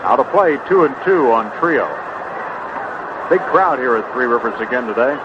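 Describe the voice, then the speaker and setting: An American man calling baseball play-by-play live on the radio from a ballpark, in a muffled old broadcast with loud noise around him. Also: medium pitch, lively intonation, normal speed. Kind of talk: live radio sports commentary. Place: open ballpark broadcast booth.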